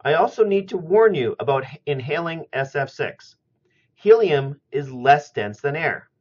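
A middle-aged man giving a scripted chemistry lecture at a steady, methodical pace, recorded on a webcam in a small room. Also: a little high. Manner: measured, methodical explaining. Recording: slightly muffled lo-fi audio.

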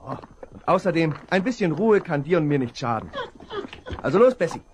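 An actor playing a brave, cheerful young rancher's son in a 1970s radio play. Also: male voice, a little high, big pitch swings, fairly quick. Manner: cheerful, bold. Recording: noisy old vinyl.

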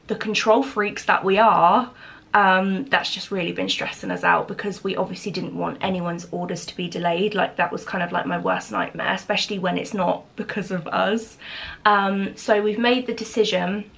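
A woman's voice: mid-range voice, gravelly, fairly bright tone. A British woman in her twenties chatting casually and informally to camera as a lifestyle vlogger, in a room at home. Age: 20s. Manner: informal, chatty, frequent "like" and "literally". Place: small home office room indoors.

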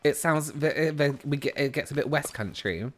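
A man's voice: normal speed, soft background music. A man in his thirties with a South London accent, chatting casually with his viewers while he plays a game on a headset mic at home. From England. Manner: chatty, animated, jumps into a high squeal when excited. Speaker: streamer. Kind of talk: casual chat while gaming, reading chat aloud. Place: small room at home. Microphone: headset with boom mic.

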